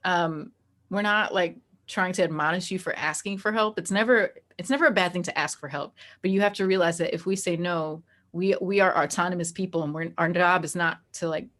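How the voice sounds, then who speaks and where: Woman, slightly low voice, candid interview guest. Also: fairly quick, fairly bright tone.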